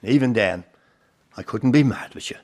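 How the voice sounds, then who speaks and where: A man's voice: mid-range voice, expressive, moderate pace, a little mellow, natural voice. An actor playing an older Irish circus grandfather in a radio drama, plain-spoken and rueful, with a salty turn and a fond heart. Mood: fond, reminiscing. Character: warm, disillusioned old Irish circus grandfather. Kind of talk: naturalistic radio drama acting.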